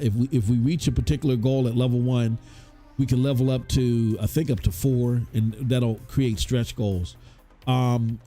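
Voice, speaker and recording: A man's voice: medium pitch, mellow. A man hosting a casual gaming podcast, chatty and loose, his voice stuffy from a cold. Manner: loose and chatty, many restarts and fillers. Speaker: podcast host. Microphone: hot studio microphone.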